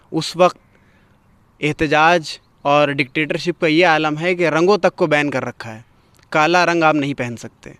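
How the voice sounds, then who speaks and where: A man with a clear, resonant voice on the higher side presents literary commentary. His diction is formal and eloquent, with an orator's delivery. The sound is close and dry. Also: a bit bright, dry recording. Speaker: host of a poetry programme. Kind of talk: literary commentary, explaining a poem.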